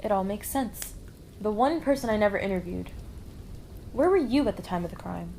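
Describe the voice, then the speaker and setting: A woman's voice: mid-range voice, animated, normal speed, vocal fry, darkish tone, slight background noise, poor audio. A woman playing a firm, probing amateur sleuth at a lodge in a puppet show. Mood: accusing. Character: firm, reflective female lodge worker turned sleuth.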